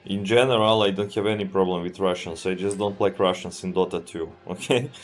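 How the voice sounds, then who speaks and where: Deep-voiced Serbian-accented man streaming a game. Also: a little fast, soft background music. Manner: relaxed, thinking aloud, often trails off and restarts. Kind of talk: live gameplay commentary.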